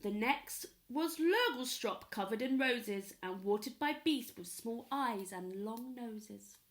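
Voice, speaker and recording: A woman reading a children's story aloud in an expressive storytelling style. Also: a little high. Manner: pauses to ask young listeners questions. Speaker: storyteller at a primary school.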